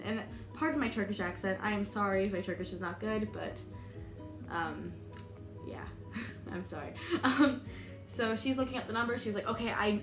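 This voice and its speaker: A twenty-year-old American woman telling a storytime vlog, chatty, full of "like" and "um", laughing nervously. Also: medium pitch. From United States. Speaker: vlogger. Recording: echoey room with music behind.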